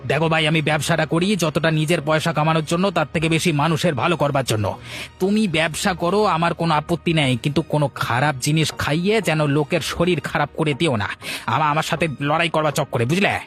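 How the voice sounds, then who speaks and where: A man voicing a proud, gruff village snack seller in a cartoon, talking in a brusque, lecturing way. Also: a little high, a little fast, fairly bright tone, faint music behind, lightly acted. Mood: proud, stern. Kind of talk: animated moral story dialogue.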